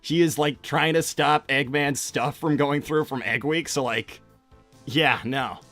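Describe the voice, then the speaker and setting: A man's voice: fairly high pitch, unhurried, fairly bright tone, soft background music. A man voicing a flustered, insecure young cartoon hedgehog hero, stammering and hesitant, in an improvised roleplay. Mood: hesitant, tentative. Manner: stammering, hesitant, self-deprecating. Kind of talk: improvised in-character livestream roleplay.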